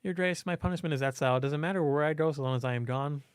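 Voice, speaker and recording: A man's voice: fairly high pitch, very fast, clear, resonant tone, no echo, lightly acted. Man voicing a proud, stubborn lady knight commander. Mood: stiff, formal. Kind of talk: visual novel character reading.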